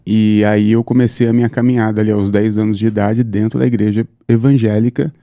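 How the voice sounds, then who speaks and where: A man, talking with a low, mellow voice. He tells his story steadily and fluently, often adding "né". The sound is close and dry. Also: steady tone, brisk, no room sound. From São Paulo state, Brazil. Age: 30s. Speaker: pastor, guest. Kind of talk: conversational interview, personal account.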